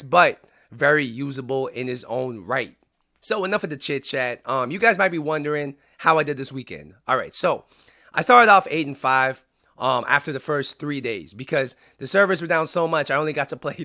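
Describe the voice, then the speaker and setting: A man talking through video-game commentary in a casual, chatty way, sounding raspy because he is under the weather. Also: medium pitch, sharp tone. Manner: casual and chatty, with frequent "um" fillers. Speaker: YouTuber. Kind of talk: gaming commentary.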